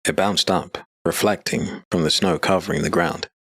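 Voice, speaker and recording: A man narrating a dark fantasy audiobook in a steady, measured way. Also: low voice, slightly rough.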